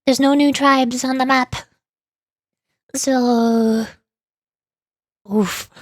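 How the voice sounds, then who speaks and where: A woman streamer chatting casually about a video game in a rambling, playfully exasperated way, often halting and repeating words. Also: sits high, steady tone, dry recording, clean studio sound. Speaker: streamer, VTuber. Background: background music.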